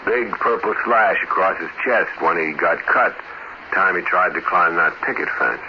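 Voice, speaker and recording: A man acting a calm, sinister alien impostor posing as a husband in a 1950s radio drama, measured and quietly insistent. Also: sits low, natural voice. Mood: matter-of-fact. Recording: dull, noisy old radio recording.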